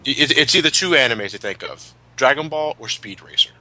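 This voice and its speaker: A man's voice: mid-range voice, expressive, fairly quick, pushed and bright. A man in his twenties chatting casually as a co-host of a group commentary. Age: 20s. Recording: clearly audible background noise.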